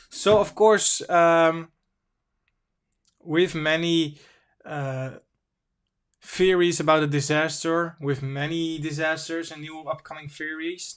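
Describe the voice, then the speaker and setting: Halting man, English not his first language. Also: on the high side, a bit bright. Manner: halting, self-correcting, reads slowly and stumbles. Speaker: livestream host. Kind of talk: casual livestream chat, reading articles aloud.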